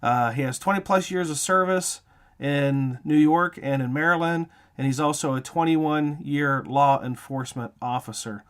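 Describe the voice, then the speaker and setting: A man's voice: on the high side, moderate pace. A man hosting an interview show, reading scripted narration to introduce a feature.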